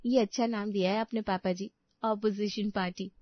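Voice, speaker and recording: A woman's voice: mid-range voice, steady tone, normal speed, dry recording, dull audio, lightly acted. A woman voicing an outspoken young daughter-in-law, teasing and sarcastic, in a cartoon story. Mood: playful. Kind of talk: cartoon moral story voice acting.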